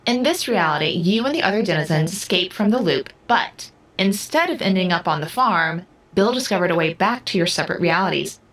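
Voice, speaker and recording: A woman's voice: lowish voice, animated, fairly quick, few pauses, fairly bright tone. Cool, teasing woman spirit guide acted. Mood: amused, detached. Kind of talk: audio drama acting.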